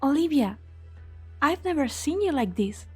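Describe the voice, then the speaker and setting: A woman's voice: sits high, sing-song, moderate pace, mellow, soft background music. A woman playing a worried, compassionate young woman in an amateur game fan dub. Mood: bewildered.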